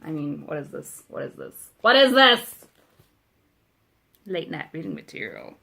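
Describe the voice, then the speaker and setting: A woman's voice: low voice, very animated, unhurried, gravelly, sharp tone. Wry, chatty young woman. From South Africa. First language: Afrikaans. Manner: chatty, wry and self-mocking, with frequent ums. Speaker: vlogger. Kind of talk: casual video letter to a friend.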